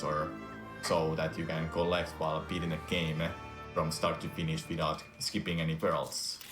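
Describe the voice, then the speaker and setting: A man's voice: low-pitched, echoey room. A Finnish man with a Finnish accent, a speedrunner chatting casually and laughing often as he plays live. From Finland. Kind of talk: casual commentary while gaming.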